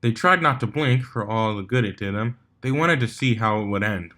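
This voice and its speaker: A man's voice: fairly low pitch, moderate pace, sharp tone. Man narrating a story, stumbling now and then. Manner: stumbles over words and rereads them. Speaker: fan fiction reader, narrator.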